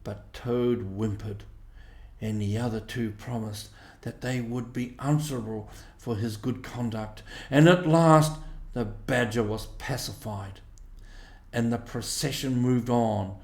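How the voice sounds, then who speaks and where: In a roomy, echoing space, a man reads a storybook aloud. His delivery is slow and measured, with expressive storytelling and a medium-pitched voice. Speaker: audiobook reader. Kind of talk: scripted storybook narration.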